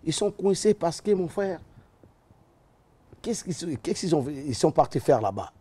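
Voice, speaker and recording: Guy from liège rambling with lots of ums, voice sits a bit high. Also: sing-song, measured pace, dark tone, slightly rough. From Liège, Belgium. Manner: rambling, hesitant with many fillers and restarts. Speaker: guest. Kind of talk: casual interview chat.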